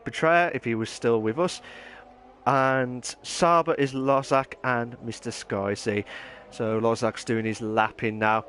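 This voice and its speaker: A man commentating a sim racing league, reading chat aloud and breaking into excited shouting. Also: mid-range voice, a little hiss or hum. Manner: bursts into excited shouting over laps; reads chat messages aloud, chatty. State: nearly losing his voice from shouting.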